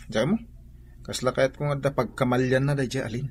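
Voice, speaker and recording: A man's voice: medium pitch, steady tone, measured pace, husky. A man playing a troubled, weary grown son with an Ilocano Filipino accent in a radio drama, sighing and downcast.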